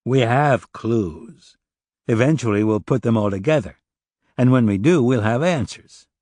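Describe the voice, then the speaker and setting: A man's voice: mid-range voice, lively intonation, fairly quick, mellow, no room sound, lightly acted. A man voicing a wry, insistent male police detective questioning someone in an audiobook. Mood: confident. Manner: wry, pressing. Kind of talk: audiobook character dialogue.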